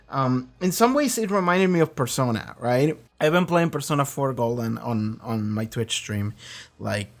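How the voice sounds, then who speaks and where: A man talking casually and off the cuff as a reaction host, rambling in an animated way with frequent swearing and acted-out asides. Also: a little high. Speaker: reaction video host and streamer. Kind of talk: casual off-the-cuff episode discussion.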